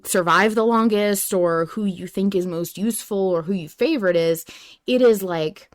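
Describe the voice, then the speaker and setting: A woman podcast host in a casual fan discussion, rambling a little as she thinks aloud. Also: lowish voice.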